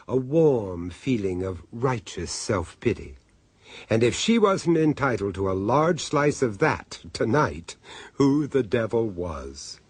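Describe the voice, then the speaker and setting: A man narrating a horror radio drama in a measured, sly storytelling manner. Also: medium pitch, breathy edge, no echo. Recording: faint hiss of old radio tape.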